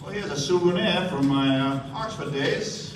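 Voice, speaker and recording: Actor as suave wealthy host, very echoey. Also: male voice, fairly high pitch, moderate pace, natural voice. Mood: earnest, confiding. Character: Gatsby, suave, courteous wealthy American host. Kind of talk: stage radio play acting. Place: theater stage before an audience. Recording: echoey stage, distant mic, noisy room.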